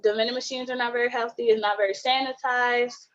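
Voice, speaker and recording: A woman's voice: fairly high pitch, fairly even intonation, fast, fairly bright tone. A young woman giving an informal testimonial as a student panelist on a video call. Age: young adult. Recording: muffled video call audio.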